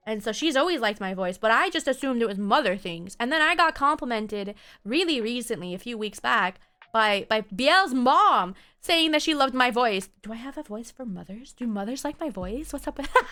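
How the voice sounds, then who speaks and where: A woman's voice: on the high side, expressive, moderate pace, pushed and bright. A young woman streamer chatting casually and answering viewer comments, rambling and laughing often. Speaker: game streamer. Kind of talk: casual chat while reading viewer comments.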